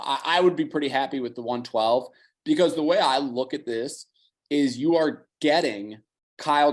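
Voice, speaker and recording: A slightly hoarse man co-hosting a podcast, running through picks in quick, analytical chat and dropping the odd curse. His voice sits at an ordinary middle pitch. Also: a little mellow. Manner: fast, analytical, casual swearing. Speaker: podcast co-host. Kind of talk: casual betting chat.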